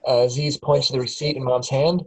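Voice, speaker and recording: A man's voice: mid-range voice, steady tone, a little fast, rough recording. A man reading the stage directions aloud at a script table read, plain with small chuckles.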